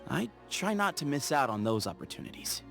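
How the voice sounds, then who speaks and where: Game character, relaxed, playful young adventurer; bouncy delivery, slightly raised pitch. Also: male voice, a little fast, creaky, soft background music, exaggerated voice. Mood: easygoing. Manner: relaxed, chatty, teasing, laughs easily. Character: easygoing, playful young desert explorer man.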